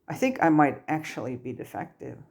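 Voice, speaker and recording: A woman's voice: low-pitched, very animated, brisk, gravelly, a little mellow, heavily acted. A woman voicing an earnest, brilliant but socially clueless teenage boy wizard in an audiobook story. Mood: troubled, apologetic.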